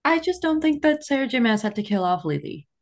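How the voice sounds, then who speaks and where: A woman's voice: sits high, very animated, brisk. A woman co-hosting a book podcast, chatting casually and candidly, peppering her sentences with "like". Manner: conversational, heavy use of "like", candid.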